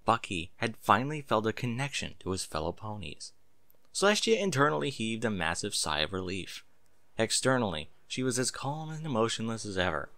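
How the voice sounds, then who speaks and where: A man narrating a story aloud in an expressive, dramatic and emphatic way. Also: fairly low pitch, fairly quick, no echo. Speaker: narrator reading fan fiction aloud.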